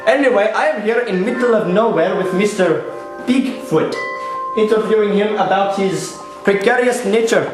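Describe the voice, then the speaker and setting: A man plays a naive Indian field reporter in a parody newscast, high in pitch. He rambles earnestly in broken English with an Indian accent. The sound is echoey, with faint music underneath. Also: moderate pace, close to an everyday voice. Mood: coaxing, curious. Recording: roomy echo, faint music behind.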